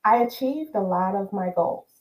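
A woman in her fifties, a podcast guest, telling her story in casual conversation with lively repetition for emphasis. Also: mid-range voice, normal speed, echoey room, low-quality recording. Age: 50s. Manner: animated storytelling, repeats words for emphasis.

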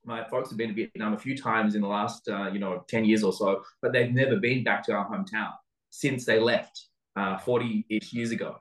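Casting: Australian male interview guest, lowish voice, thoughtful and halting, recorded in a roomy echo. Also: fairly even intonation. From Sydney, Australia. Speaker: interview guest, venture capital investor. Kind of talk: conversational interview answer. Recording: roomy, echoing sound.

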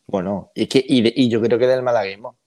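A man's voice: mid-range voice, steady tone, brisk, dark tone, dry recording. A man co-hosting a radio show in casual, joking chat. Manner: joking, bantering.

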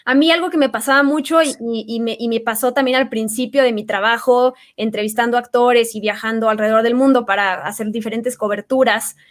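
A woman's voice: on the high side, steady tone, brisk, few pauses. A woman with a Mexican accent chatting casually, telling stories about her work with frequent restarts. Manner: warm storytelling with many restarts and fillers. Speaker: guest. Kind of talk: casual chat.

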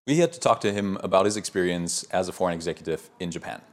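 A man hosting a business interview as a consultant, asking fluent, brisk questions. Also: deep voice, lively intonation.

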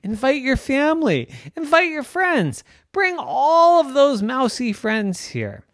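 A man's voice: very high voice, wildly swooping pitch, fairly bright tone, no room sound, studio quality, pitched above the performer's own voice, exaggerated voice. A man voicing a sweet, sly female house cat with a sugary, coaxing manner. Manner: sugary, coaxing, drawn-out words.

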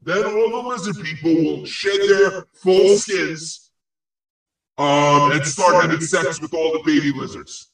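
A man's voice: on the high side, fairly even intonation. Man playing a crude ghost in heavy echo. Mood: grandly prophetic. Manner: pompous, insulting, halting delivery of absurd prophecies. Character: crude, gleefully spiteful ghost of a dead man. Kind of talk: improvised comic audio play. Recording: very echoey, distant-sounding voice.

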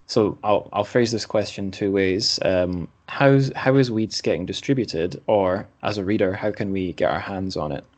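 Male podcast host, lowish voice, casual and self-deprecating interviewer. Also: normal speed, few pauses.

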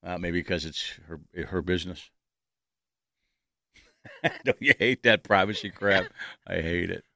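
A man's voice: deep voice, unhurried, gravelly, pauses often, pushed and bright, dry recording, clean studio sound. A man hosting a casual panel talk show, chatting in a joking, rambling way.

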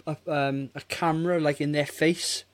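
A man in his forties with a slightly high voice co-hosts a film review chat. He talks casually and gives blunt opinions. His manner is exasperated and sweary. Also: steady tone, moderate pace. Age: 40s. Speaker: film review podcast host.

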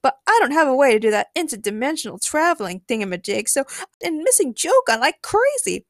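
A woman's voice: high-pitched, very animated, brisk, no room sound, clean studio sound, pitched above the performer's own voice. A woman voicing a lovesick, dramatic young female prankster in a story, gushing and theatrical. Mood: wistful.

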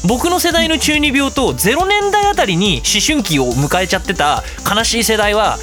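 A man's voice: high voice, sing-song, normal speed, sharp tone, faint music behind. A middle-aged man hosting an internet radio show, chatting casually and comically in a geeky ramble and acting out quotes as he goes.

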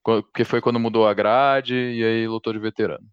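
A man hosting a casual conversation, relaxed and chatty, inviting questions from listeners. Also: lowish voice, brisk, clean tone, dry recording, dull audio. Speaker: host.

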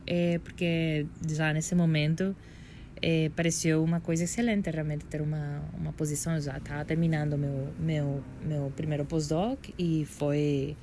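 A woman with a Puerto Rican accent speaks as an interview guest in a low, mellow voice. She is middle-aged and reflective, pausing to search for words and correcting herself mid-sentence. Her answers unfold slowly as she thinks them through. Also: slight background noise. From Puerto Rico. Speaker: guest astrophysicist.

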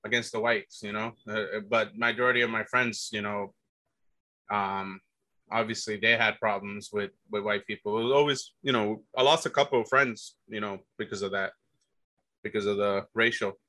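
Bright-voiced man rambling casually. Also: lowish voice, fairly even intonation, moderate pace, dry recording, low-quality recording. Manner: rambling, many fillers and restarts, says "dude". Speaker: guest telling his life story. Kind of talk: casual conversation.

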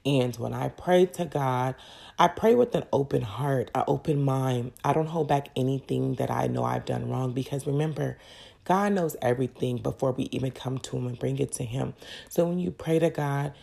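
Very low, gravelly woman podcaster. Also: normal speed, warm tone. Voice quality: crackly. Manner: earnest, personal, encouraging. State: congested with a cold. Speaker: podcast host. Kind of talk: faith podcast talk.

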